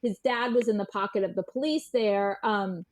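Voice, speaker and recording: A woman's voice: mid-range voice, normal speed, a little hiss or hum. Woman journalist telling a story informally. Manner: informal, emphatic, repeats words for stress. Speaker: guest journalist and writer. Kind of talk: conversational interview storytelling.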